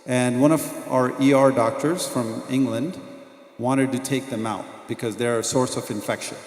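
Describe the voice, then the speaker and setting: A doctor giving a mosque lecture, telling personal stories in an earnest way that turns quiet and reverent at moments. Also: male voice, mid-range voice, fairly even intonation, normal speed. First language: not Arabic. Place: mosque hall. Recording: echoey hall, long reverb.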